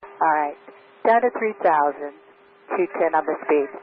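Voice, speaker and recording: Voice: medium pitch, normal speed. An airline pilot speaking in a composed, matter-of-fact way over an aircraft radio. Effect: aircraft radio transmission. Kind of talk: radio communication with air traffic control. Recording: noisy, narrow cockpit radio transmission.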